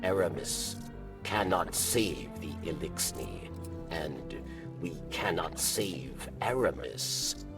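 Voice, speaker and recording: A man voicing a weary, grave alien exile in a video game, with a gravelly voice and solemn, halting delivery. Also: recorded from a distance, heavily acted. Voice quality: gravelly, very deep. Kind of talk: video game cutscene acting.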